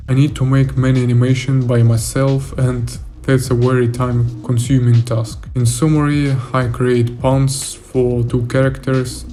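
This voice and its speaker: A young man narrates a scripted video about his work as an indie developer. His delivery is very even and plain, with little rise or fall. The tone is dark and relaxed, and faint music plays behind him. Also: medium pitch. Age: young adult.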